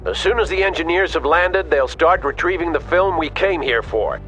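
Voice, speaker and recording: An actor playing a stern, decisive army officer giving clipped, authoritative orders in a video game. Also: male voice, a little high, lively intonation, normal speed, a bit bright, faint music behind, low-quality recording. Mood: firm, commanding. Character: stern commanding male army officer, decisive battlefield leader. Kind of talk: video game radio-briefing acting.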